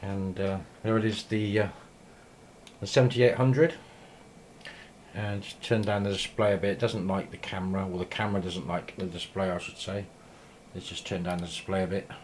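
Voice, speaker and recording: A man giving a casual, ad-libbed video tour in a hesitant, unhurried, rambling way, recorded on a handheld camera in a small room. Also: sits low, level tone. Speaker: amateur radio operator filming shack tour. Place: small indoor radio room. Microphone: handheld camera mic. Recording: noisy handheld camera sound.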